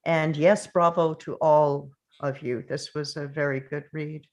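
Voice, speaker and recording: A woman's voice: low voice, steady tone, a little slow. A woman actor talking casually and reflectively in a group discussion. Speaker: actor in a table read.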